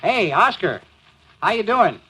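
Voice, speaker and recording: An actor playing a breezy, teasing newspaper editor and amateur sleuth in an old-time radio mystery. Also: male voice, high voice, sing-song, measured pace, bright, forceful tone, breathy edge, heavily acted, low-quality recording. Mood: eager. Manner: jaunty, cajoling, affectionate. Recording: hissy old radio recording.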